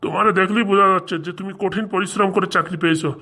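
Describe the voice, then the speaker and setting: A woman's voice: mid-range voice, steady tone, rapid-fire, a bit bright, close to an everyday voice. A woman playing a grasping, pushy male official in a comic cartoon, with a nagging, colloquial way of talking. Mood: officious, teasing.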